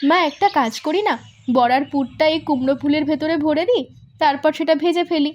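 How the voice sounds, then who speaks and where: A woman voicing a lively, playful young village daughter in a cartoon, eager and fond of secrets. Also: sits high, a little fast, a little hiss or hum, natural voice. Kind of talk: cartoon voice acting.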